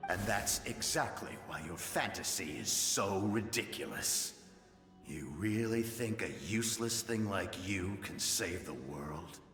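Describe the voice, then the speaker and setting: An actor playing a grim, scornful ancient warrior spirit in a video game, with a slow, contemptuous delivery. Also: male voice, lowish voice, lively intonation. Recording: long echoing reverb under loud music.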